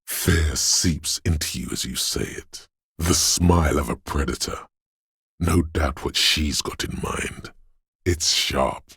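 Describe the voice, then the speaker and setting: Super deep husky paranoid inner voice for a video game, slow n ominous, like raw fear and menace talking. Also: male voice, a bit bright, dry recording, studio quality, exaggerated voice. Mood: ominous, hushed. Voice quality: gravelly, husky, breathy. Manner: slow, ominous, intense delivery. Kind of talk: dramatic video game voice acting.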